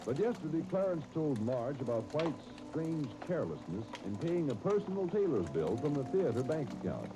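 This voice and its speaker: A man's voice: a little high, very animated, normal speed, hardly any pauses. An American man announcing from a script in dramatic, emphatic 1930s radio style. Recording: noisy 1939 radio broadcast disc.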